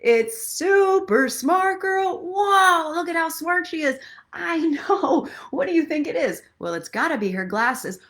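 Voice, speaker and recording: Woman putting on gushing, high-pitched superhero fans. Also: lively intonation, a little slow, fairly bright tone, heavily acted. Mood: gushing awe. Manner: exaggerated, gushing, theatrical. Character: excited onlookers gushing over a brainy superhero woman.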